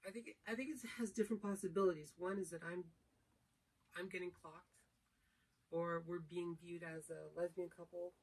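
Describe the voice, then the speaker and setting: A woman's voice: lowish voice, steady tone, normal speed, a little mellow, breathy edge. A younger woman thinking aloud in a casual chat with her partner, analytical and reflective, searching for her words. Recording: distant mic.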